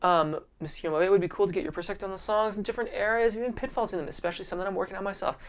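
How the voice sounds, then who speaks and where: A man's voice: high voice, very fast. A man, a vocal coach, hosting a casual live-stream Q&A, reading viewer comments and answering in a friendly, appreciative way. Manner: friendly and appreciative, many ums and restarts.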